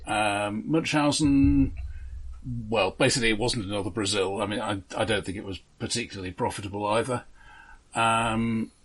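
Husky-voiced man, measured and factual. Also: fairly low pitch, a bit bright, rough recording. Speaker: film podcast co-host. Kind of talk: informative film discussion.

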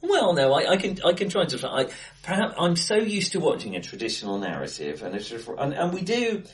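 A man co-hosting a casual film discussion, hesitant and self-correcting as he thinks aloud, recorded in an acoustically bright room. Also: fairly high pitch, very animated, a little fast. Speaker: film podcast co-host. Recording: echoey bright room, face to face.

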